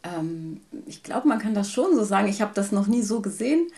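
A woman from Germany, a guest in an interview, talking about her life in a thoughtful, hesitant way with many pauses. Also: medium pitch, sing-song, darkish tone. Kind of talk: personal conversation.

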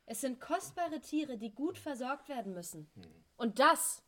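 An actress playing a fervent, mocking young squatter activist arguing at a meeting in a radio drama. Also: female voice, high voice, expressive, unhurried, full character voice. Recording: loud meeting crowd all around.

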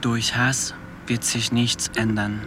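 A man reciting a scripted radio-play monologue in measured, declarative statements. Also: medium pitch, flat delivery, background noise, lightly acted.

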